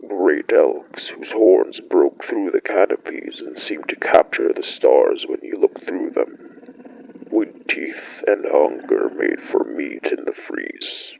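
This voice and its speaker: An ancient great wolf of a horror audio drama, acted by a man, speaks as a solemn storyteller. The voice is very low and full of gravel, moving slowly through poetic, measured phrases. The sound is slightly dull. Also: full character voice. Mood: grave, reverent. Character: ancient great wolf-like beast, solemn pack elder, recalling primeval winters. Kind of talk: scripted dramatic monologue, horror fiction podcast.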